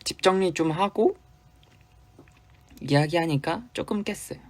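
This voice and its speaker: A man's voice: a little high, unhurried. A young man from Busan with a light Busan accent, chatting casually with fans on a livestream and answering their comments. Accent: Busan Gyeongsang Korean, light. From Busan, South Korea. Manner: chatty, loose and playful, with sudden drops to quiet murmurs. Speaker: idol hosting a fan livestream.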